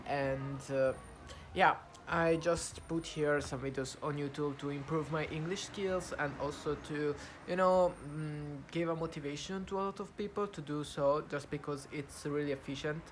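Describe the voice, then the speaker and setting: A young Italian man talking to camera in English, his second language, with an Italian accent, pausing often with fillers and restarts as he searches for words. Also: a little high, fairly even intonation, background noise. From Italy. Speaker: vlogger. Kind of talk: casual talk to camera, practising English.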